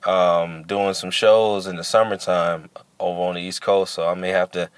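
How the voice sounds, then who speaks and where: A man's voice: low-pitched, flat delivery, normal speed, clear, resonant tone, dry recording. A man hosting a casual podcast chat, playful and slangy, who often repeats his phrases. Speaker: podcast host.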